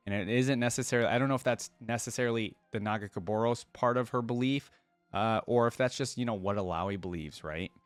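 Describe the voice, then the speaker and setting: A man's voice: lowish voice, clean tone, dry recording. A man hosting a reaction video, chatting informally to viewers in casual commentary on a close mic. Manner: informal, conversational, addresses viewers. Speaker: host of a reaction channel.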